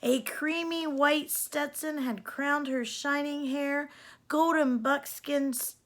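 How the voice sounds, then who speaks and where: A woman reading a children's storybook aloud in an expressive storytelling style. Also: sits high, slow. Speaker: storybook reader.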